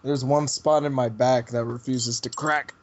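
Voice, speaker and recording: A man's voice: mid-range voice, fairly even intonation, unhurried, highs cut off. A man chatting casually and jokingly as a regular on a podcast.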